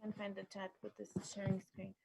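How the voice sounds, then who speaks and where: A woman's voice: mid-range voice, monotone, a little fast, creaky, heavy background noise. A woman hosting a workshop, presenting informally in a hesitant way as she searches for words. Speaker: workshop host and artist.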